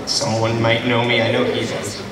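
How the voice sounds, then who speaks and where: A man speaking as a voice actor on a convention panel, halting and jokey as he introduces himself. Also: mid-range voice, flat delivery. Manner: halting, jokey, mock-offended. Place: large convention panel room. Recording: very echoey noisy hall, distant. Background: room noise.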